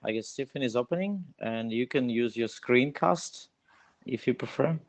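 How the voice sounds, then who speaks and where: A man's voice: mid-range voice, animated, no room sound. A man chairing a conference session, a non-native English speaker introducing the speakers carefully. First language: not English. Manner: slow, careful introductions with soft laughs.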